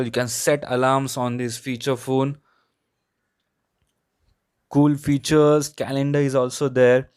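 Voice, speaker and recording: A man's voice: medium pitch, smooth, no room sound, clean studio sound. A man with an Indian accent narrating an unscripted product review in a repetitive, explanatory way. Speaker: tech reviewer.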